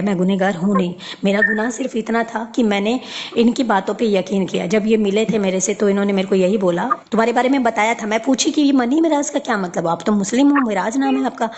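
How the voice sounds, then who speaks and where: A woman's voice: mid-range voice, darkish tone. A woman in a heated personal conversation on a recorded phone call, speaking insistently and repeating herself to take the floor.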